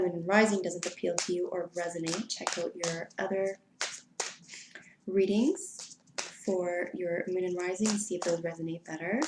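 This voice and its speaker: A woman giving a weekly tarot reading in an unhurried, soft way, sounding stuffy from allergies. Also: fairly low pitch, echoey room, heavy background noise. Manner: soft, unhurried, spiritual invocations. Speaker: tarot reader.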